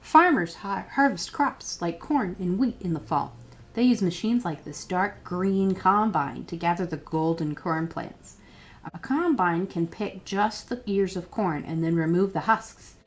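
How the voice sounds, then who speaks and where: A woman's voice: lowish voice, a little slow. A woman reading a children's picture book aloud as a storytime librarian, expressively and with questions put to young listeners.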